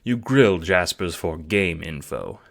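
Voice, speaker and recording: A man's voice: low voice, animated, takes it slowly, creaky, a bit bright. A man narrating a scripted story in the second person, in the style of an audio drama or audiobook. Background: music.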